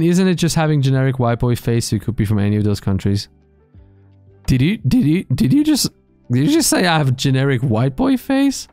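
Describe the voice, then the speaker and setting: A man in his thirties streaming from a small gaming room into a boom mic, chatting casually with his viewers, joking and swearing freely. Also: warm tone, no echo. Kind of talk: casual chat reading live chat. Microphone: studio mic on boom arm, headphones.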